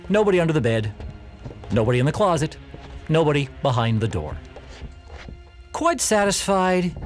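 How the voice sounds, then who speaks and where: A man narrating a classic story in audio theatre, with measured, emphatic, expressive delivery. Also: fairly high pitch.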